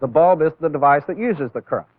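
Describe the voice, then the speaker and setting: A man's voice: a little high, lively intonation, no echo. Tinny, band-limited male training-film instructor. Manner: deliberate, step-by-step explaining with emphasis on key words. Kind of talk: instructional demonstration lecture. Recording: tinny phone-like old film soundtrack.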